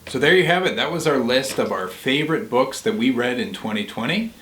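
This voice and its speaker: A man's voice: mid-range voice, lively intonation, a little fast, fairly bright tone. A man in his forties giving casual, enthusiastic book recommendations as co-host of a video blog. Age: 40s. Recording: echoey, roomy sound.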